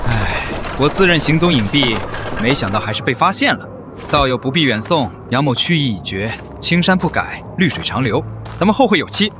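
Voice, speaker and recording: An actor playing a hapless young man mistaken for a great master in an animated drama, exclamatory and theatrical. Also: medium pitch, a little fast, noisy background, close to an everyday voice. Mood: weary, breezy. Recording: music behind the voice.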